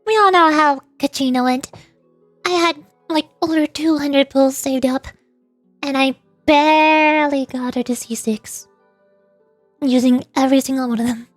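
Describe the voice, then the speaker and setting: A woman hosting a casual gaming livestream, chatting in an animated, playfully whiny way. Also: high-pitched, dry recording. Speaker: VTuber livestream host.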